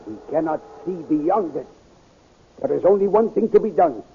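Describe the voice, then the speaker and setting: An actor playing a grave, decisive military commander with a clipped, authoritative manner in a 1930s radio serial. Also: male voice, on the high side, expressive, moderate pace, slight background noise. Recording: tinny, band-limited old radio recording.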